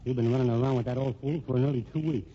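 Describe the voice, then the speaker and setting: A man's voice: medium pitch, fairly even intonation, fast, dark tone, slightly rough, natural voice. An actor playing an earnest young working man, a reproachful jilted suitor, in old-time radio drama style. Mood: reproachful, pleading. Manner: earnest, scolding. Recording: muffled old radio recording, faint noise.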